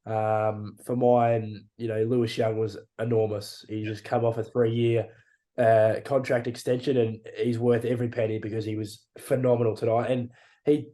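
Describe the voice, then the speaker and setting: A man's voice: mid-range voice, dark tone. A young Australian man co-hosting a football podcast, talking in a blunt, frustrated way with casual slang and swearing. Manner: frustrated, blunt, swears, uses "mate" and "reckon". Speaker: podcast co-host. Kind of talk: casual sports chat.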